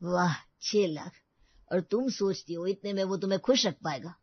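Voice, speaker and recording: A woman's voice: lowish voice, moderate pace, husky, no echo, highs cut off. A woman voicing a stern, scornful parent in a story.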